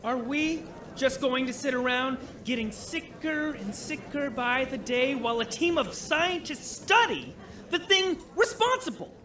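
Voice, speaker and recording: Fired up male activist leader, high bright voice, rabble rousing sci-fi radio drama. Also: exaggerated voice. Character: agitated male activist leader haranguing a rally.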